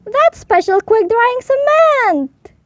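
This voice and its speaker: An emphatic building site foreman from a children's story, read by a woman, questioning and incredulous. The voice is high for the role and very animated, recorded dry. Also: takes it slowly, no room sound, full character voice.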